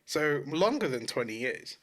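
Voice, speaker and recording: A man's voice: a little high, big pitch swings, a little slow, fairly bright tone. A British man co-hosting a casual gaming podcast, talking in a slangy, sweary, emphatic way. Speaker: podcast co-host.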